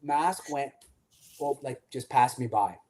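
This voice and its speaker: A man from Quebec who speaks English as a second language with a Quebec French accent, chatting excitedly as a podcast co-host. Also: medium pitch, takes it slowly. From Quebec, Canada. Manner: animated, excitable storyteller. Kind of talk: casual chat.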